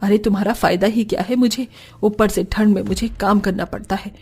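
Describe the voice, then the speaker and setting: A woman's voice: mid-range voice, fairly even intonation, a little fast, darkish tone, slightly rough, pitched below the performer's own voice. A woman voicing a scolding, threatening, exasperated elderly mother in a cartoon. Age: older.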